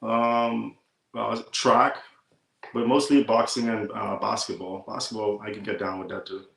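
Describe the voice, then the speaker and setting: An easygoing young man with a gravelly edge to his voice. He talks in a relaxed, chatty way, recorded in an echoey room. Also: lowish voice, unhurried. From Bahamas. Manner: easygoing, says "man" often. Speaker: guest. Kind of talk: casual interview chat.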